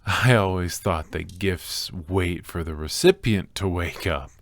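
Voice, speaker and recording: Guy acting out a creepy-sweet obsessive male captor, asmr roleplay, low gravelly voice, whispery and doting. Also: slight background noise. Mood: tender, teasing. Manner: soft, intimate, drawn-out, often dropping to whispers.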